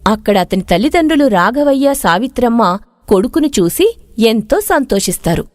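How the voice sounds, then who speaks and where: A woman's voice: a little high, sing-song, moderate pace, no echo, studio quality. A woman narrating a scripted moral story.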